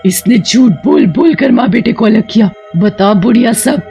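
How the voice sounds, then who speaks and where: Ghost witch mother, played by a woman: fierce, protective, doting. Animated horror story with music bed. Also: on the high side, normal speed, gravelly, voice pushed up. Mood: vengeful. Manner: menacing to foes, doting to her child.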